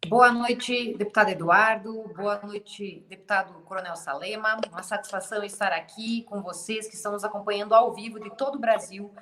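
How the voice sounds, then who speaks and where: A woman's voice: mid-range voice, fairly even intonation, fairly quick, few pauses, bright, forceful tone. A woman from Santa Catarina, Brazil, a congresswoman guest speaking earnestly on a livestream and listing her points. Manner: earnest, lists points, some hesitations. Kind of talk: political livestream talk.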